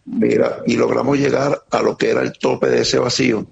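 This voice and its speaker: A middle-aged man with a Venezuelan accent giving an earnest, halting account as an interviewee, often repeating words. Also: medium pitch, little pitch movement, vocal fry. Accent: Venezuelan Spanish. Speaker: interviewee, security operator. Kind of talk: emotional testimony on a remote interview. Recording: muffled lo-fi line with faint noise.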